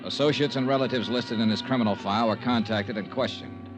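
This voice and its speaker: A world-weary private investigator acted by a man tells his case like a report, clipped and matter-of-fact. It is old-time radio detective drama, with music clearly behind the voice. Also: mid-range voice, a little fast, lightly acted. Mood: businesslike, dry. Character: brisk, dry, world-weary male insurance investigator. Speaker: insurance investigator and narrator. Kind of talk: 1950s radio detective acting and narration.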